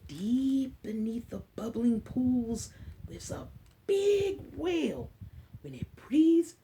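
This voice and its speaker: A woman's voice: medium pitch, dark tone, a little hiss or hum, poor audio. An American woman hosting a children's story time, speaking in a teacherly way with simple short phrases and questions to her listeners. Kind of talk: story time talk and read-aloud for children.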